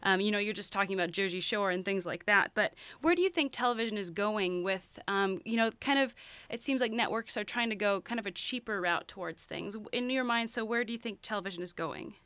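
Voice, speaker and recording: A woman's voice: medium pitch, brisk, bright, forceful tone, clean tone, dry recording. An American woman hosting a conversational radio interview, asking long, meandering questions.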